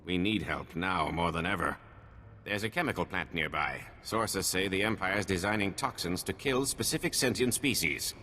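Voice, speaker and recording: An earnest working-class resistance leader in a video game. The voice is fairly low and sharp-edged, urgent and businesslike, heard with lingering reverb and faint noise. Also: male voice, moderate pace. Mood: weary, urgent. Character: earnest, weary male foreman leading a resistance. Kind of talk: scripted video game cutscene acting.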